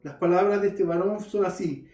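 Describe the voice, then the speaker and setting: A man's voice: high-pitched, normal speed, mellow, recorded from a distance, soft background music. A male pastor preaching a sermon, earnestly addressing his listeners and reading scripture aloud. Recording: roomy echo.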